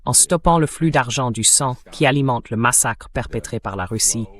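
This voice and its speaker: A man's voice: mid-range voice, normal speed, fairly bright tone. A man speaking as a senator in a political speech, translated and read by a synthetic voice.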